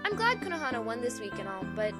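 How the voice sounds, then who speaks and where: A woman's voice: fairly high pitch, sing-song, fast, loud music behind the voice. A woman acting a cheerful, teasing young farmer in a fan radio play, perky and enthusiastic. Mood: teasing, friendly.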